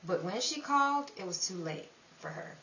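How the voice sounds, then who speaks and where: A woman's voice: mid-range voice, expressive, normal speed, sharp tone. A woman playing a world-weary, streetwise narrator of a 1930s underworld tale, in dramatic old-time radio style. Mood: somber. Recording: echoey, distant mic, dull, faint hiss.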